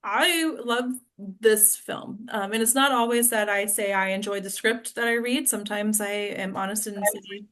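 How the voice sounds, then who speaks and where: Halting, casual woman host. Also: medium pitch, fairly bright tone. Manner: halting, filler-heavy. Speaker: host leading a discussion. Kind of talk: casual discussion.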